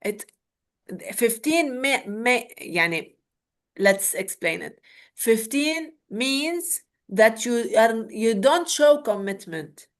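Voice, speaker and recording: A woman's voice: fairly high pitch, lively intonation, slow pace. Lebanese woman leading a webinar. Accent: Lebanese Arabic. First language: Arabic. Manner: repeats words, checks in with "okay?". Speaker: webinar trainer. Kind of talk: interactive online workshop.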